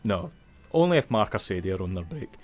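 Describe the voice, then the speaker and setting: An actor playing a friendly, teasing Scottish visitor centre manager in an audio drama, easygoing and chatty, with music behind him. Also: male voice, on the low side, sing-song, fast. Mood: patient, explaining. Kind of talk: naturalistic audio drama dialogue.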